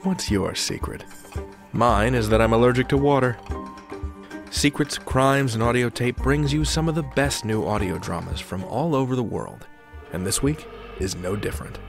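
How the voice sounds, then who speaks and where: A man hosting a podcast, reading a scripted introduction with a wry, joking delivery. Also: medium pitch. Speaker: podcast host. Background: music.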